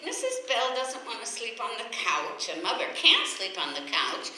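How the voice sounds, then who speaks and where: A woman's voice: fairly high pitch, wildly swooping pitch, moderate pace, bright, forceful tone, full character voice. An American woman playing a cheerfully scatterbrained, earnest wife who muddles her words in an old radio comedy. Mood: muddled. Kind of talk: 1940s radio comedy acting. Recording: very echoey old radio recording.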